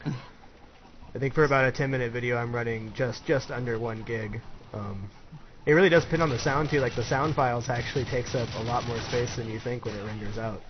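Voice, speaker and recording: A man's voice: mid-range voice, fairly even intonation, fairly quick. A man in his twenties hosting a casual chat, asking easygoing questions. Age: 20s. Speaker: host, interviewer. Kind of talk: casual chat over gameplay commentary. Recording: dull lo-fi voice-chat audio with clear background noise.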